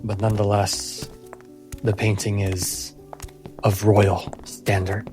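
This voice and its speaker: A man narrating a fantasy tabletop game as game master, in measured phrases with dramatic pauses. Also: lowish voice, little pitch movement, over music.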